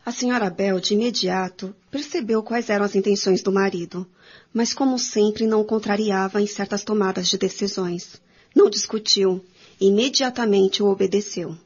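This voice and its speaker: A woman's voice: medium pitch, steady tone, moderate pace, husky. A woman reading scripted dramatic narration for a radio drama. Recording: dull recording, faint music behind.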